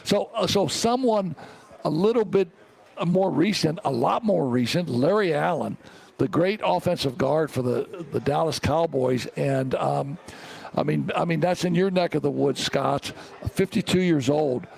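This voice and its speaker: A middle-aged American man hosts a casual sports talk show. His voice is on the high side and heavily creaky, and he rambles along, often circling back over his own phrases. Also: unhurried, a little mellow.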